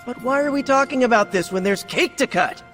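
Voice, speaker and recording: A man's voice: extremely high pitch, lively intonation, a little fast, a bit bright. A man playing an eager, nerdy young superhero in a video game cutscene, boyish and rambling. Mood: chatty, cheerful. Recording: long hall reverb, music behind.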